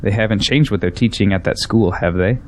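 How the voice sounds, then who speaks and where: A man's voice: fairly low pitch, a little fast, a little hiss or hum, lightly acted. A man playing a defensive, ambitious young businessman in an audio drama, arguing skeptically and proudly. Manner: defensive, argumentative.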